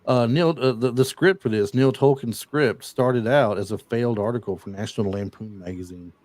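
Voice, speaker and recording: A man's voice: mid-range voice, moderate pace, a little mellow. A man with a mid-range voice hosts a casual podcast, retelling film scenes and reeling off trivia. He stops and starts often. His voice sounds dry and close, with no room echo. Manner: retells movie scenes and reads off film trivia, with many fillers. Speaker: podcast host. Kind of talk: casual chat.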